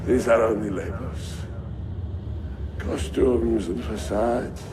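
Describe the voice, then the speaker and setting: A man's voice: on the low side, takes it slowly. A man playing a proud, grandiose Byzantine Templar lord in a video game, with an oratorical manner. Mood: weak, dying. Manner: pompous, oratorical, declamatory. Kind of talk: dramatic video game cutscene acting. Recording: game audio with clear background noise.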